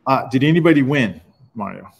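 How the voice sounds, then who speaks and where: A man's voice: medium pitch, animated, brisk, vocal fry, slightly rough. A middle-aged man hosting a live webinar from an auto repair shop, chatting loosely and calling people dude. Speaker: webinar host. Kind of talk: casual live-stream chat.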